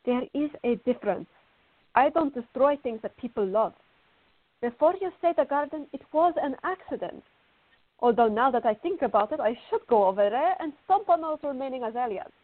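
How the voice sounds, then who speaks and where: Tinny, high-pitched, indignant young woman acted by a woman. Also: animated, moderate pace. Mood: angry, jealous. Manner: indignant, questioning, argumentative. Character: fiery, indignant young woman, hot-tempered and feisty. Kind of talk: radio drama acting. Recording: tinny, band-limited, echoey room.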